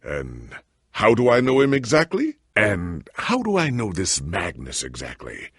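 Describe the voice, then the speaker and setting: A man playing a weary, ailing, confused warrior hero in a video game, with husky gravelly voice and formal, grave diction. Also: fairly low pitch, wildly swooping pitch, measured pace, a bit bright, no room sound, studio quality, exaggerated voice. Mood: courteous, grave. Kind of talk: dramatic video game voice acting.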